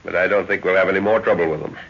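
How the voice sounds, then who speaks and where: A man's voice: sits low, fairly even intonation, very fast, husky. An actor playing a middle-aged, fatherly professor and explorer, hearty and thoughtful, in an old radio drama. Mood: calm, thoughtful. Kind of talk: 1930s radio acting. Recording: muffled 1930s radio recording.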